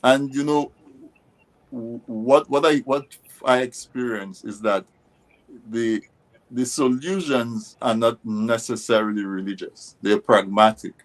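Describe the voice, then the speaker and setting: A man hosting an interview, explaining his points in a measured, deliberate, conversational way. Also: mid-range voice, low-quality recording. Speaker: interview host.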